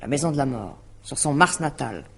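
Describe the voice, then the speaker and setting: An actress playing a weary, alarmed astrologer and bitter divorcée, hesitant and sighing, in 1950s French radio drama style. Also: female voice, rumbling low, expressive, moderate pace, gravelly, slightly rough, heavily acted. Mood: grave.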